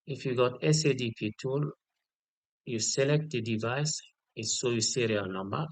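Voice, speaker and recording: A man giving an unscripted step-by-step software tutorial, repeating key details and often checking in with a short okay. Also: medium pitch, moderate pace, dry recording. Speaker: tutorial instructor.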